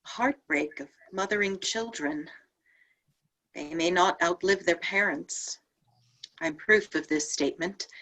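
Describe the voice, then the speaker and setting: Lady doing a ghostly woman saint, lowish voice, grave and poetic, bit wry, stage drama read. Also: a bit bright, poor audio. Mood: gentle, solemn. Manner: measured, lofty, grave. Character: saintly martyred woman spirit, solemn, tender, wryly bitter. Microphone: video call.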